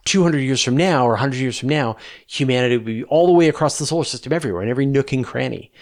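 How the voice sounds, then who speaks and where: A middle-aged man hosting a space question-and-answer show, explaining conversationally with emphatic stresses and rhetorical questions. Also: lowish voice.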